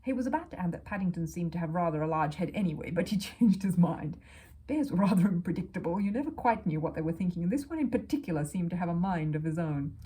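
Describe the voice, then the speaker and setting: A woman reading a children's story aloud as an expressive narrator. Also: lowish voice, fast.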